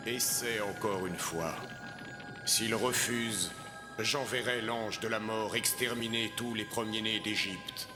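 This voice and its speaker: A cartoon deity, calm and commanding. His low voice speaks with measured, solemn authority over clearly heard music. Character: calm, solemn, all-powerful male God. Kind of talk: animated film dubbing.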